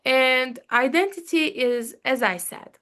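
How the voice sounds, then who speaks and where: A woman's voice: sits high, slow, fairly bright tone. A young woman with a Turkish accent giving a class presentation, hesitant, with many fillers and frequent "okay?" checks. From Turkey. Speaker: student presenter.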